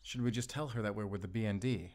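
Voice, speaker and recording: A man's voice: lowish voice, fast talker, a little mellow, natural voice. A man playing a wry, confident wolf hunter in an audio roleplay, talking in a teasing, conversational way to a companion. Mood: matter-of-fact. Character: wry, playful male German wolf hunter, confident, protective. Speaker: voice actor. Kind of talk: cinematic audio roleplay acting.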